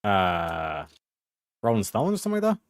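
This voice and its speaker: A man's voice: lowish voice, huge pitch swings, moderate pace, no room sound, clean studio sound. A man streaming a game, chatting casually and joking with his viewers, swearing a lot. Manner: profane, joking, talks to chat while playing. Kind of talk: casual gaming livestream chat.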